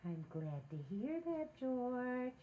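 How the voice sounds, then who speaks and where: Woman acting a lively, high-voiced meddling housewife. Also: takes it slowly, exaggerated voice. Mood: teasing. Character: playful, meddling married housewife, quick to protest. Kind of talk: 1940s radio comedy acting.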